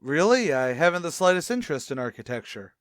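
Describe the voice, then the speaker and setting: A man playing a dignified, cultured music critic, formal and deadpan, in an old-time radio sitcom. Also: on the high side, fast talker, dry recording, studio quality. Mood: politely formal.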